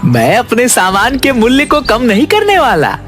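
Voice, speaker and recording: A man's voice: very high-pitched, huge pitch swings, normal speed, fairly bright tone, slight background noise, full character voice. A man voicing a greedy, scornful father who sells sweets in a village, in a Hindi cartoon. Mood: angry, scornful. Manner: grumbling, scornful. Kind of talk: Hindi moral-story cartoon acting.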